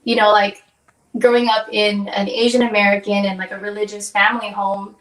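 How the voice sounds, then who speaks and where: A woman's voice: mid-range voice, level tone, brisk, distant mic. A young woman from Hawaii, a singer-songwriter guest, chatting in an upbeat way in an interview and acting out the things people said to her. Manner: upbeat, chatty, acts out quoted exchanges. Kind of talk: casual interview chat. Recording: echoey room, voice from off mic.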